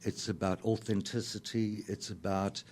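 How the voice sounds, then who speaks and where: Mature South African male interview guest, low voice, reflective and measured. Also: steady tone, darkish tone, slight background noise. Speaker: interview guest, author and lyricist. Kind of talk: reflective radio interview talk.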